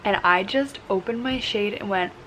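A young woman vlogging casually from her bed with a croaky morning voice and a relaxed, chatty manner full of fillers, filmed on a camera held at arm's length. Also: medium pitch, moderate pace, slight background noise, poor audio. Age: young adult. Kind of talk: casual vlog chat. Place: bedroom, in bed. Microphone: handheld camera at arm's length.

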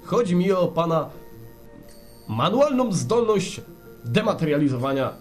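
A man's voice: a little high, very animated, unhurried, faint music behind. A man playing a mock-serious, wordy and theatrically polite phone-in host in a parody radio play. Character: glib, mock-solemn male radio phone-in host, secretly fed up.

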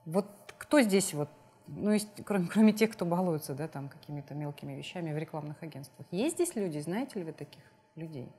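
A woman's voice: low-pitched, lively intonation, fairly quick, mellow, husky. A woman in her thirties hosting a studio interview in a conversational way. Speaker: interviewer, host. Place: TV studio, seated at desk. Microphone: lapel mic.

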